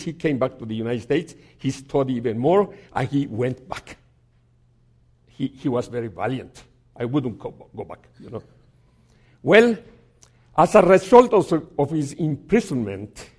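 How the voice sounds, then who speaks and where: A man giving a sermon as a guest preacher in a church, telling a story in a halting way with many restarts and some gentle humor. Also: on the high side.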